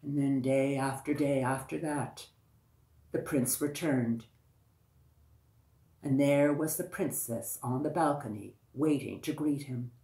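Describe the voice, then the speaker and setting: Slow, low-voiced woman storyteller, echoey. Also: dark tone. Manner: slow, deliberate, repeats phrases for emphasis. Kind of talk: oral folktale storytelling. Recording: echoey, roomy sound.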